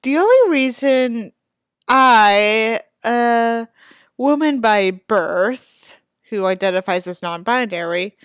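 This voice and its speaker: A woman's voice: fairly high pitch, lively intonation, slow, clear, resonant tone, no room sound, faint music behind. A woman reading a novel dramatically as a self-absorbed, brooding young narrator, earnest and melodramatic.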